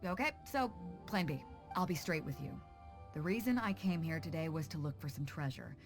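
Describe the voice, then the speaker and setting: Bold, animated woman sea captain. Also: deep voice, normal speed, soft background music. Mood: frank, decisive. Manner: confident, plain-spoken. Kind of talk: video game voice acting.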